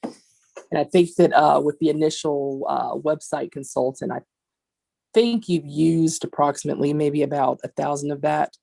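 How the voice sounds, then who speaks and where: A woman's voice: low voice, fairly even intonation, normal speed, gravelly, dark tone. A woman advising a formal virtual meeting as attorney, explaining things carefully over a video call. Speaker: board attorney.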